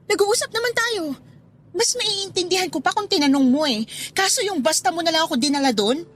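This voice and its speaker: A woman's voice: extremely high pitch, lively intonation, a little fast, a bit bright, soft background music. A woman playing a hurt but forgiving young girlfriend in a Tagalog radio drama, speaking earnestly and reproachfully.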